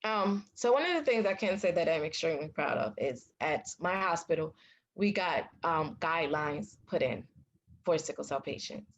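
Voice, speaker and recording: A young woman from the United States, a patient advocate, telling her story in a casual interview, outspoken and animated. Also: low-pitched, moderate pace, muffled recording. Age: young adult. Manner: animated, acts out quoted dialogue; loud, outspoken.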